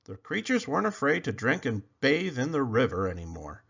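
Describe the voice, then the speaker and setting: Animated man reading a picture book. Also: mid-range voice, brisk, vocal fry, slightly rough. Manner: expressive, animated storytelling. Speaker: storyteller. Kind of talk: children's read-aloud narration. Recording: slightly muffled recording.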